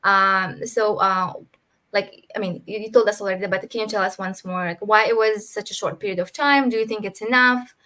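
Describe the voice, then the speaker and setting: A woman's voice: medium pitch, fairly even intonation, normal speed, pushed and bright. A woman moderating an online panel, asking long, rambling questions with many fillers.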